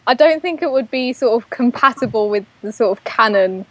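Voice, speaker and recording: A woman's voice: a little high, expressive, fairly quick, a bit bright, dry recording. A woman co-hosting an online radio show, chatting casually about a TV series with frequent hesitations. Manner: hesitant, filler-heavy. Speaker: podcast co-host. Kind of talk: casual fan discussion.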